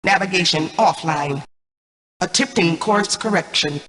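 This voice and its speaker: A woman's voice: fairly low pitch, a little slow, a bit bright, roomy sound, distant mic. A woman voicing a cold, imperious female mainframe AI in a video game, speaking formally and precisely, with a synthetic computer effect. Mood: clinical. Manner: formal, precise, haughty machine-like announcements. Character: cold, imperious female mainframe AI, menacing antagonist turned uneasy ally. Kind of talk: scripted video game character lines.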